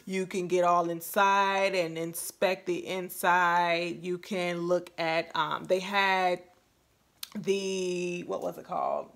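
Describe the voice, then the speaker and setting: A woman's voice: fairly low pitch, slow pace, a bit bright. A woman in her thirties chatting casually to camera as a vlogger, recorded indoors in a room at home. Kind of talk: casual chat to camera recounting an experience.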